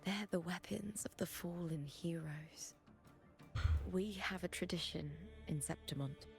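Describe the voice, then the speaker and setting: A resolute warrior woman who rules her city, speaking in a lowish, gravelly voice. She is proud and commanding, in video game style, with clear music behind her. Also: unhurried. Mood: solemn, reflective. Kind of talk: video game story voice acting.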